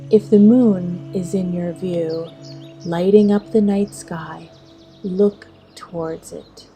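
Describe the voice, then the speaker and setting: A woman with a slightly low voice narrates a guided meditation. She speaks very slowly and soothingly, leaving long pauses between instructions. Music plays clearly behind her, with birdsong. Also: fairly even intonation, warm tone.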